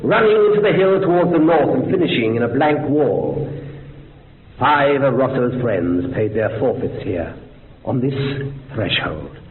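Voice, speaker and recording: Through a thin, phone-like recording with faint noise comes a literary, theatrical man from a radio thriller. His delivery rises and falls dramatically, relishing grim detail with deliberate care. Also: fairly high pitch. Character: eloquent, grimly fascinated man recounting strange deaths.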